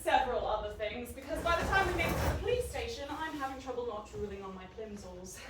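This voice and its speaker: A woman's voice: fairly high pitch, animated, fast talker, lightly acted. An actress playing a defiant, sardonic young woman, telling her own story in a wry, rambling, irreverent way in an audio drama. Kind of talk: dramatic first-person audio drama narration. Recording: echoey, distant, faint background noise.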